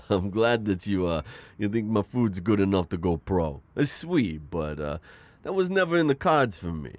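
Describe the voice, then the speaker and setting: A man's voice: low-pitched, expressive, measured pace, no room sound. A man playing a charming, smitten mafia boss in an ASMR roleplay, flirting in a hesitant, rambling murmur. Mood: fond, reminiscing.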